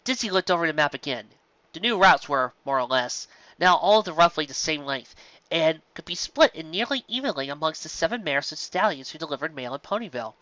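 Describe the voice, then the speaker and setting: An animated audiobook narrator reading a story. The voice is bright and forceful with a very lively range, captured close and dry on a slightly muffled recording. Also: medium pitch, a little fast, no echo.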